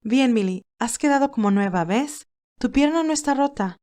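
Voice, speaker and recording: Woman acting Candy, a lively young nurse. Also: high voice, normal speed, voice pushed up. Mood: caring. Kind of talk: audio storybook character dialogue.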